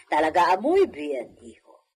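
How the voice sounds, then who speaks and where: A woman playing a wry, suspicious older village mother in an Ilocano comedy radio drama, with an Ilocano accent and a chiding, expressive manner. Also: fairly low pitch, moderate pace. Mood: wry, reproachful.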